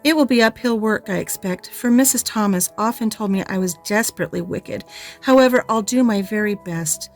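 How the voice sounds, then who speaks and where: A woman's voice: fairly high pitch, moderate pace, darkish tone, faint music behind, voice pushed up, lightly acted. A woman voicing an eager, imaginative and talkative orphan girl, pleading and effusive, in an audiobook reading.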